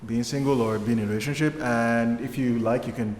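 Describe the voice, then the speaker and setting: A man's voice: medium pitch, steady tone, fairly quick, darkish tone. A man asking interview questions in a light, prompting way. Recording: roomy reverb, faint hiss.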